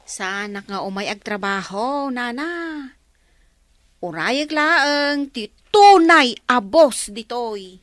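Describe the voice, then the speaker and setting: A woman with an Ilocano Filipino accent playing a bossy, sharp-tongued, meddling housemaid in a comedy radio drama. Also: a little high, animated, slow, no room sound. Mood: coolly insistent.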